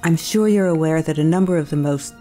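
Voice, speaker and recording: A woman acting as a concerned, probing school staff member in an audio drama, with a measured, coaxing manner. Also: deep voice, warm tone, faint music behind, close to an everyday voice. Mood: cautious, concerned.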